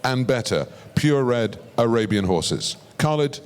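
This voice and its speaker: A man's voice: mid-range voice, very animated, unhurried. Polished male moderator in large hall. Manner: polished, announcer-like introductions. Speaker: panel moderator. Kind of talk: formal moderating. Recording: reverberant conference hall.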